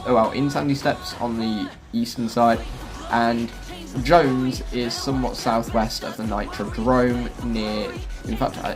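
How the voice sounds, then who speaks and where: A man hosting a gaming video, giving casual, chatty guide commentary and explaining as he goes. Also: medium pitch. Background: music.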